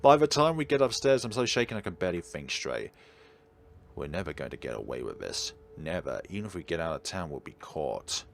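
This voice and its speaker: A man's voice: low voice, very animated, a little fast, creaky. A man doing let's play commentary, reading game narration aloud theatrically with wry asides. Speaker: game streamer. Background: game music.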